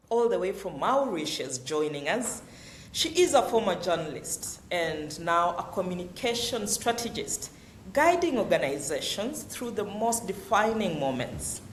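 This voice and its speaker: A woman hosting a webinar, introducing each speaker in a formal, measured way. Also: medium pitch, slight background noise. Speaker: webinar host. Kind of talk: moderating a webinar. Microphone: video call. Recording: roomy echo.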